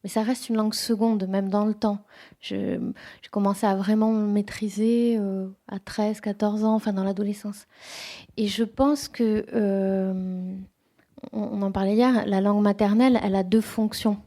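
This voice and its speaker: A woman's voice: mid-range voice, steady tone, moderate pace, mellow. A woman poet speaking at a bookshop event, talking in a thoughtful, hesitant way with many restarts. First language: French. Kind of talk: bookshop talk.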